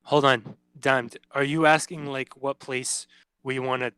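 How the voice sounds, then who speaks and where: A young man talks in a relaxed, easygoing way, trailing off and filling pauses with "um". His voice sits at an ordinary middle pitch. The recording is dry and close to the mic. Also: smooth, dry recording. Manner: laid-back, hesitant, many "um"s. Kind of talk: casual chat.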